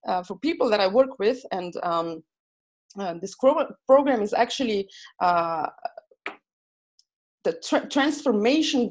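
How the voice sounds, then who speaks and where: A woman with a Slovene accent, speaking as a guest coach on a podcast, excited and full of fillers and restarts. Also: a little high. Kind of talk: enthusiastic podcast interview.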